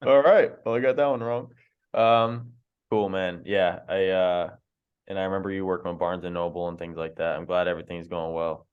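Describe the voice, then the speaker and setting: Low-voiced laid-back male host, muffled. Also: little pitch movement, moderate pace, smooth. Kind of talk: casual group conversation.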